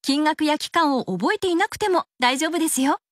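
A woman's voice: sits high, lively intonation, a little fast, fairly bright tone, dry recording, clean studio sound. A woman reading a scripted radio commercial. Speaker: advertisement announcer.

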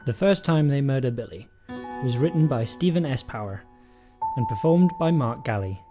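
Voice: medium pitch, lively intonation, normal speed. An announcer reading scripted end credits for an audio story. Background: guitar music.

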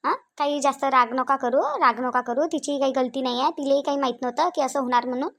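Woman acting a high-pitched, insistent village sister-in-law. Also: fast, fairly bright tone. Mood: calming. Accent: rural Vidarbha Marathi. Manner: insistent, repetitive, reasoning. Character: sensible, protective village sister-in-law calming a quarrel. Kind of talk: amateur Marathi village family drama.

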